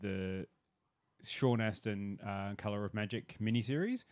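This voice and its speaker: A man's voice: lowish voice, unhurried. A man co-hosting a podcast with casual, joking and mock-contrary banter. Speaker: podcast co-host.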